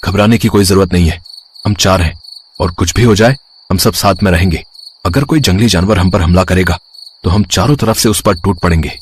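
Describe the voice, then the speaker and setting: A man playing a brave young villager, earnest and emphatic, in dramatic dialogue for an animated moral story. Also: low voice, a little fast, a little hiss or hum, natural voice. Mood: determined.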